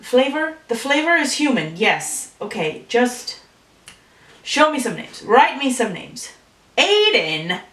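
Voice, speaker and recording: A woman vlogging to camera in a casual, playful, rambling way, full of animated asides. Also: a little high, slow, far from the mic. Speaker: vlogger telling an improvised story. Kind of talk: casual chatty to-camera talk. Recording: echoey room, voice off-mic.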